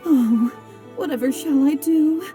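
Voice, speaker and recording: A woman's voice: sits high, measured pace, mellow. An actress playing an anxious, timid young townswoman in a video game, with music behind her. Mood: fretful, timid. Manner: timid, fretful. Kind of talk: video game character dialogue. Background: background game music.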